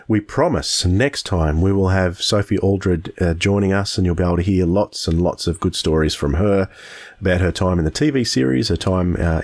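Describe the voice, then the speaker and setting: A man co-hosting a podcast in casual, chatty talk. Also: deep voice. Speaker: podcast co-host.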